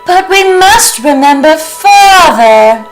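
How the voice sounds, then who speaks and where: A man putting on a shrill falsetto to play a frightened young woman in a comic podcast sketch, hammed up and over the top. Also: animated, slow pace, a bit bright, soft background music, heavily acted. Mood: solemn. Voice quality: high falsetto. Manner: mock-dramatic, over the top.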